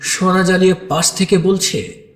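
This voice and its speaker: Shy bridegroom narrator, male actor, hushed and emotive, very unhurried, dramatic pauses, reverberant audio-story sound. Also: sits high, fairly even intonation. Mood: awed, nervous. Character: shy, doubt-haunted bridegroom recounting his wedding night, tender. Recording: echoey studio reverb under clear music.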